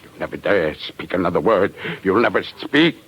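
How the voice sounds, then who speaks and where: An actor playing a menacing, jealous Russian prison commandant in an old radio drama, speaking in a deliberate, domineering way. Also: male voice, lively intonation, highs cut off, full character voice. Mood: menacing rage. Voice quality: very deep, gravelly. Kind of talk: 1940s radio drama acting. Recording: noisy old radio recording.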